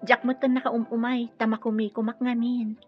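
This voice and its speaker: An actress playing a meek, wronged young daughter-in-law in an Ilocano radio drama, plaintive and earnest, with an Ilocano accent. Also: female voice, a little high, little pitch movement, normal speed, slightly rough, muffled recording, soft background music. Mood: gentle, uneasy.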